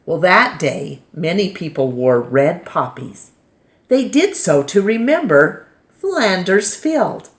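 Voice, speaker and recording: A woman with an American accent and a low-pitched voice reads a children's history book aloud. She takes her time, delivering short phrases one by one with clear pauses, like a teacher at storytime. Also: very animated.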